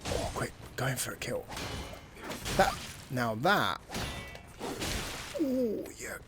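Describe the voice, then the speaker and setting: A man's voice: mid-range voice, huge pitch swings, drawn-out words, music in the background. A man giving casual, chatty commentary while he plays a video game, thinking aloud and reading tutorial text as he goes. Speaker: gaming channel host.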